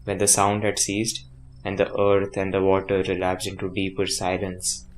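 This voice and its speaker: A man's voice: sits low, completely flat delivery, moderate pace, slight background noise, close to an everyday voice. A man playing a grave storyteller narrating an eerie night tale in a radio drama. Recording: background music under narration.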